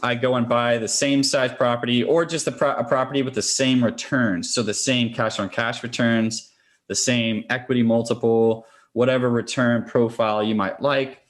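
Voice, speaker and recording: A man's voice: mid-range voice, fairly even intonation, moderate pace. A man speaking as a podcast interview guest, a real estate investor explaining things conversationally and step by step.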